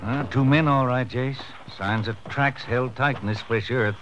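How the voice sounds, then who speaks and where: An actor playing a plain-spoken, wondering American country sheriff in a 1950s western radio drama, talking in a folksy, conversational way. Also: male voice, medium pitch, moderate pace, music in the background, lightly acted. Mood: observant. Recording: muffled, noisy old radio broadcast recording.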